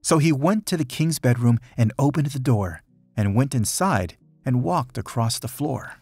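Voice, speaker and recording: A man reads a children's story in rhyming verse with a slightly husky, breathy edge to his voice. His pitch moves in a lively, dramatic way as he tells the tale. Faint music plays behind him. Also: normal speed, dry recording. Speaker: storybook reader. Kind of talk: children's picture book read-aloud, rhyming verse.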